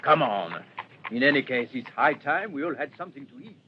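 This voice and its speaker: An actor playing an excitable, commanding detective in a radio crime drama, speaking in urgent exclamations. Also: male voice, mid-range voice, fairly quick, natural voice. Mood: urgent, excited. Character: excitable, commanding male detective hot on a trail. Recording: dull radio drama recording with clear noise.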